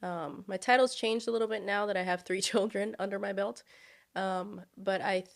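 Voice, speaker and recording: A woman's voice: mid-range voice, moderate pace, gravelly. A woman in her thirties, from Brazil and raised in the United States, telling stories as a podcast guest and laughing often. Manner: lively storyteller, laughs often. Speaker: guest, high school ministries director. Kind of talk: casual podcast chat.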